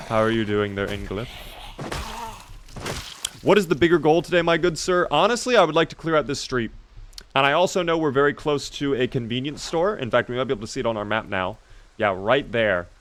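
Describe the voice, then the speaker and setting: A man's voice: mid-range voice, lively intonation, brisk, a bit bright. Chatty male streamer talking to chat. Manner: chatty, reads and answers chat while playing. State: voice recently strained. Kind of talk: casual live gameplay commentary.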